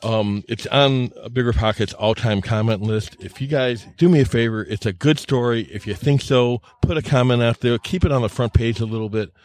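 A man's voice: mid-range voice, normal speed, few pauses, darkish tone. A man telling anecdotes casually on a podcast, often stammering and repeating words as he goes, plain-spoken and self-deprecating. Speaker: podcast storyteller. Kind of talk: casual anecdotal storytelling chat.

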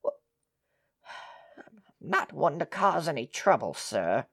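A woman's voice: low voice, sing-song, slow, fairly bright tone, slightly rough, heavily acted. An actor playing a timid, deferential woman who speaks hesitantly and stammers in a melodramatic radio play. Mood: subdued, resigned. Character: timid, tearful, deferential woman, a former household employee.

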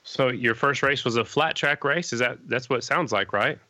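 A man's voice: mid-range voice, normal speed, sharp tone, no room sound. A man hosting a casual podcast interview, easygoing and friendly. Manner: friendly, easygoing, says "right on" a lot. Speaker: podcast host.

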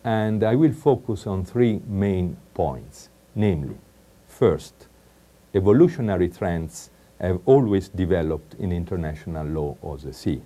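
A middle-aged man with an Italian accent, a law professor giving a recorded academic lecture in a measured way with frequent hesitations. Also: low-pitched, expressive, dark tone. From Italy.

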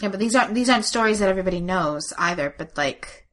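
A woman's voice: medium pitch, animated, fast, a bit bright. A woman co-hosting a podcast in casual chat, speaking informally and emphatically in stop-start phrases. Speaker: podcast co-host.

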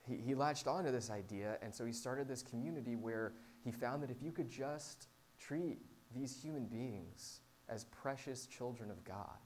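A man's voice: medium pitch, normal speed, darkish tone. A man preaching in an informal, conversational style as a pastor, with a self-deprecating, humorous manner. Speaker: pastor preaching to a small church. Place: live talk to a small congregation. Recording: clear background noise with hum.

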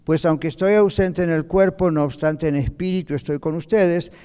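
A man's voice: fairly high pitch, steady tone, moderate pace, warm tone, clear, resonant tone. Man teaching a Bible class in a reverberant hall. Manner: conversational, checks in with "¿ok?". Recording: long reverb of a church hall.

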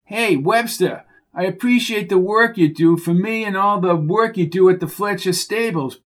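A man voicing a hot-tempered male racehorse owner who talks gruffly and bosses people around. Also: high-pitched, moderate pace, voice pushed up, natural voice. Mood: bossy. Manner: gruff, bossy, threatening.

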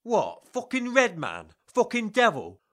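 An actor playing a volatile, foul-mouthed middle-aged gangster boss in a dark comedy crime drama, aggressive and blustering. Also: male voice, high-pitched, huge pitch swings, a little slow, heavily acted. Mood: indignant.

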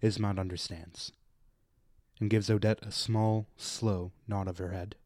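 Deliberate male radio drama narrator, dry sound. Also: fairly low pitch, flat delivery, a little mellow, dry recording. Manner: deliberate, literary storytelling. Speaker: narrator reading stage directions.

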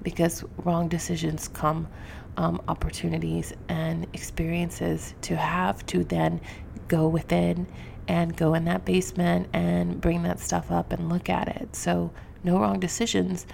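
Woman podcaster, heavy vocal fry, narrow intonation, sniffly, candid, confessional, close mic. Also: on the low side, little pitch movement, unhurried, darkish tone, a little hiss or hum. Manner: confessional, candid, informal with some swearing. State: sniffly from allergies. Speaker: podcast host. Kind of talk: reflective solo podcast talk to listeners. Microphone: close podcast microphone.

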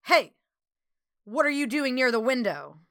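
A woman voicing a stern, gruff older male warden who bellows orders in a storybook. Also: high-pitched, sing-song, measured pace, a bit bright, no room sound, clean studio sound, pitched above the performer's own voice, full character voice. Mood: angry.

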